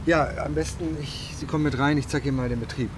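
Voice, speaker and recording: A man's voice: medium pitch, steady tone, fast, close to an everyday voice. An actor playing a down-to-earth, somewhat hesitant male owner of a family mineral spring in a radio drama. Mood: welcoming. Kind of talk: naturalistic radio drama acting. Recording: loud-ish plant machinery noise behind.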